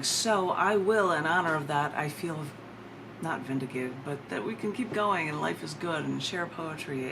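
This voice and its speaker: Low-voiced woman poet, noisy recording. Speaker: poet reading own work. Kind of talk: live poetry reading with chatty introductions.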